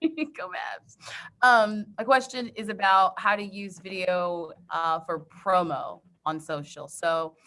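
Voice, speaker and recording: A woman's voice: fairly low pitch, fairly even intonation, slow pace, pushed and bright. A woman asking a guest a question on a video call, giggly and upbeat. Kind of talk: casual video-call chat.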